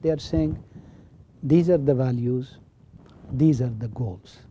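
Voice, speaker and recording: Pakistani-accented man, dark mellow voice. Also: medium pitch, slow, husky. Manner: earnest, explanatory, repeats key phrases. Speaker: college religion teacher and chaplain. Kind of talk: interview talk.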